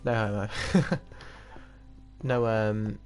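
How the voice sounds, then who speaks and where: A man's voice: fairly low pitch, extremely slow, a little mellow. A man in his twenties with a British accent, chatting casually and rambling while he plays a game, often laughing. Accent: British English. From England. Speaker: gaming streamer. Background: game music.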